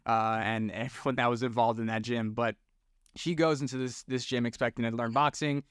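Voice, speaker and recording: A man from Florida talks fast in a smooth, clear voice, easygoing and full of slang. His recording is close and dry. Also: medium pitch, no echo. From Orlando, Florida, US. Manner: relaxed, slangy, talks with friendly bro energy. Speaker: podcast guest, tattoo shop owner. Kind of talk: casual storytelling chat.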